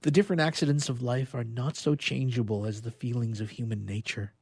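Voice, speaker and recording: A man voicing an anguished scientist in a formal, dramatic reading of a gothic novel, with a husky voice. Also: medium pitch, steady tone, a little fast, darkish tone, dry recording, clean studio sound. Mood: anguished, repelled. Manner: formal, literary, horror-stricken. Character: anguished young male scientist recounting his horrifying creation.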